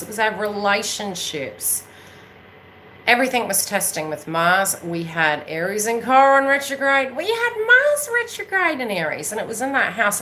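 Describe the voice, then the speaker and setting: A woman's voice: medium pitch, bright, forceful tone, slight background noise, rough recording. A woman from New Zealand with a Kiwi accent, chatting casually and unscripted to her viewers as an astrology reader, in an emphatic, rambling way that keeps checking they follow. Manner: emphatic, rambling, often checking in with tag questions. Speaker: astrology reader and spiritual coach hosting her own channel.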